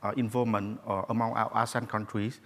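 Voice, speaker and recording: Halting man from Vietnam. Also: fairly low pitch, little pitch movement, a bit bright. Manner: halting, with many fillers. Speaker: panelist, company chairman. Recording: long hall reverb.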